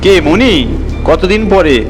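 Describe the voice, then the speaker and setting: A man's voice: high-pitched, animated, takes it slowly, pitched above the performer's own voice. A man voicing an anxious, impatient householder who is frightened of robbers. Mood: alarmed. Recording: long reverb, background music.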